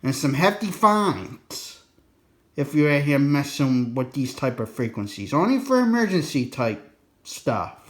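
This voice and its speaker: A man's voice: medium pitch, big pitch swings, unhurried. A man giving a casual, unscripted explainer in a folksy, colloquial way, rambling a bit and repeating his warnings for emphasis. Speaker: presenter of an instructional radio video.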